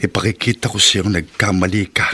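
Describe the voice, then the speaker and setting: A man's voice: low voice, fairly even intonation, brisk, bright, forceful tone, slightly rough, heavily acted. An actor with a Filipino accent playing a bitter, proud abandoned husband and father in a melodramatic radio drama, intense and emphatic. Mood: bitter resolve.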